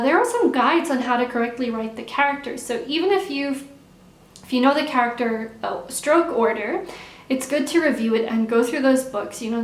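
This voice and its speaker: Chatty young woman in an echoey room. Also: fairly high pitch. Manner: chatty, relaxed, repeats words for emphasis. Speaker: vlogger answering viewer questions. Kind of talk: casual Q&A chat.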